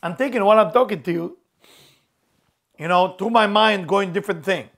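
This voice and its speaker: A man's voice: high voice, moderate pace, a bit bright. A middle-aged man whose first language is Hebrew gives an earnest spiritual motivational talk, repeating key words emphatically in non-native phrasing. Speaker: spiritual teacher.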